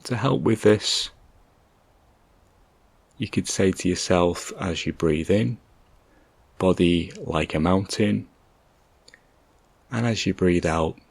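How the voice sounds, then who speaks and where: A man leading a guided meditation in a gentle, calm, measured way, leaving long pauses between short instructions. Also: sits low, creaky, breathy edge, no room sound.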